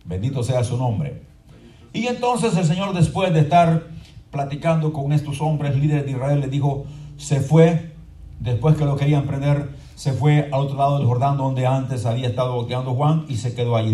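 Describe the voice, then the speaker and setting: A man preaching a fervent sermon as pastor, moving between quiet explanation and shouted proclamation and often asking his congregation to agree. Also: medium pitch, normal speed. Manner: often asks the congregation "¿verdad?". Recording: echoey room from far off with faint crowd noise.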